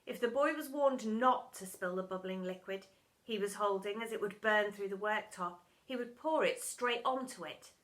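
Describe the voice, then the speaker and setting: A woman reading a children's storybook aloud as expressive narration, with dramatic, emphatic storytelling. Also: medium pitch, normal speed. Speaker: primary school story reader.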